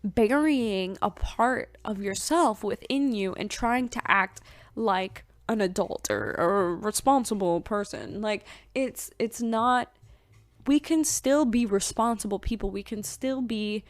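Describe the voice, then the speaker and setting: A woman's voice: medium pitch, measured pace, no echo. A woman in her twenties hosting a casual spiritual podcast, chatty and rambling with many fillers. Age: 20s. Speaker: podcast host.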